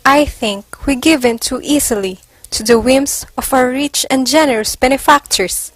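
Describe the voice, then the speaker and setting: Woman acting a high-voiced, conscientious nun. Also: normal speed, no echo, poor audio. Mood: reproachful. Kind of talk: amateur stage play acting.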